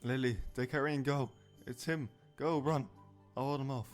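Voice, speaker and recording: A man's voice: medium pitch, steady tone, normal speed, soft background music, lightly acted. A man playing a desperate young father protecting his family in a fan audio drama. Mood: urgent, desperate.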